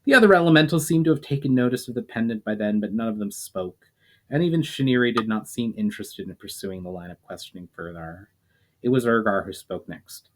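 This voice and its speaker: A man's voice: lowish voice, lively intonation, a little fast. Man reading a fantasy story aloud. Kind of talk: scripted fantasy narration.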